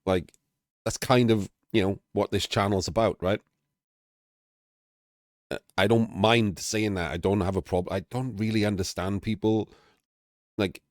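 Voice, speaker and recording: A middle-aged Welsh man with a Welsh accent, talking candidly and casually as a streamer, with fillers and the odd throat clearing. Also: fairly low pitch, dry recording, studio quality. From Wales. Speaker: streamer and channel host. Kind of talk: casual talk to camera-less audience.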